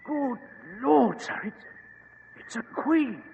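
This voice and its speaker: A man's voice: very high-pitched, lively intonation, takes it slowly, creaky, narrow, tinny audio, heavily acted. A man playing a nervous, deferential explorer's assistant in an old radio drama, anxious and hesitant. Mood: startled, eager. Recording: echoing, hissy old radio recording.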